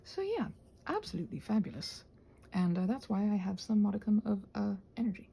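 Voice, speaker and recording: A woman's voice: mid-range voice, sing-song, a little fast, vocal fry, mellow, slightly rough. A woman chatting casually in a whimsical, digressive way while she does crafts. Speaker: craft vlogger.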